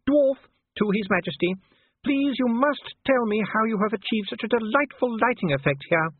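A man's voice: high voice, expressive, normal speed, breathy edge, no echo, narrow, tinny audio, voice pushed up. A man voicing a gushing upper-class duchess in an audiobook, trilling, effusive and gracious. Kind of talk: audiobook character voice.

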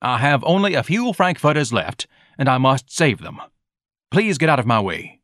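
A man's voice: mid-range voice, sing-song, normal speed, a bit bright, no echo, studio quality, voice pushed up. A man voicing a pompous, easily outraged hot dog vendor with haughty, ornate diction, in an audiobook story. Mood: haughty, dismissive.

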